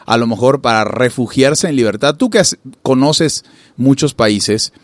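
A man originally from Venezuela hosts a relaxed interview, rambling through his questions with frequent fillers. His voice sits at a middling pitch and sounds dry and close, with no room around it. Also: measured pace, no echo. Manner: chatty, loose questions padded with "eh" and "este". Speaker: podcast host, interviewer. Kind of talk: casual interview chat.

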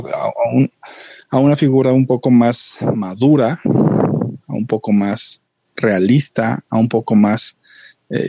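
A Mexican man with a Mexican accent in a casual podcast discussion, reflective and halting with frequent restarts. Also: mid-range voice, a little mellow, slightly rough, no echo. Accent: Mexican Spanish.

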